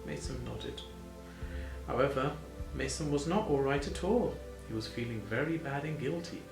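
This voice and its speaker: A man's voice: medium pitch, moderate pace, gravelly. A man narrating a children's bedtime storybook, talking directly to small children and putting questions to them. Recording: roomy, with prominent music behind.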